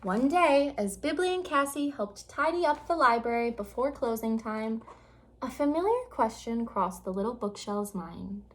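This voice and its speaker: Woman doing storytime read aloud, higher voice, expressive and dramatic for kids. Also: normal speed. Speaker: children's storybook reader.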